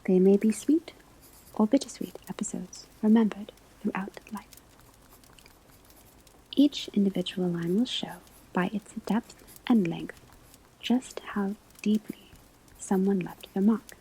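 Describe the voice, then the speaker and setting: A woman whispering in a breathy, husky voice, delivering a scripted ASMR classroom lecture as a teacher, with deliberate, measured phrasing. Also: mid-range voice, warm tone, poor audio. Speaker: ASMR performer playing a divination class teacher.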